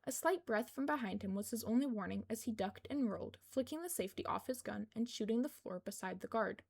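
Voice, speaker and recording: A woman's voice: on the high side, expressive, fairly quick, creaky. A woman reading scripted fiction aloud as an amateur audiobook narrator.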